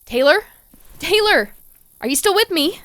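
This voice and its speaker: A woman's voice: extremely high pitch, expressive, measured pace, fairly bright tone, dry recording, clean studio sound, exaggerated voice. A woman playing an earnest, anxious scientist who gives urgent, commanding instructions in an audio drama. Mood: worried. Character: earnest, urgent young scientist coaching a trapped friend.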